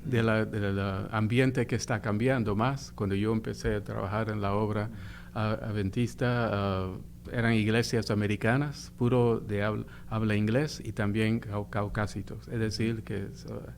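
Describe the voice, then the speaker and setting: An older man, a pastor, answering interview questions slowly with many fillers and restarts. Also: fairly low pitch, level tone, vocal fry, a little hiss or hum. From United States. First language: Spanish heritage, raised in New York. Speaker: pastor, church official.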